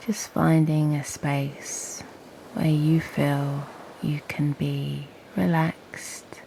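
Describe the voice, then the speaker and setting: Slow, low, half-whispering woman guiding meditation. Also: little pitch movement, slight background noise, rough recording. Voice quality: soft, breathy, half-whispered. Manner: gentle, unhurried, with long pauses. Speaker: host and guided breathwork meditation teacher. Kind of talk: guided meditation, slow and soothing.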